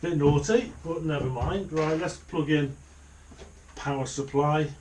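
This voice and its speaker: A man's voice: a little high, fairly even intonation, measured pace, darkish tone, a little hiss or hum, poor audio. A middle-aged man giving a casual, unscripted hands-on commentary, partly muttering to himself as he works. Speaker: hobbyist presenter of a radio repair video. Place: small home office, indoors.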